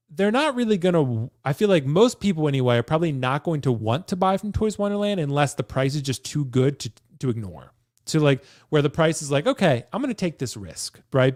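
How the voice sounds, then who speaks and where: A man in his early thirties with a lively, animated voice, hosting a casual chat. He often checks in with "right?" and repeats "like I said." The recording is dry and close. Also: medium pitch, no echo. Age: 30s. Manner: chatty, says "right?" and "like I said" often. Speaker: host.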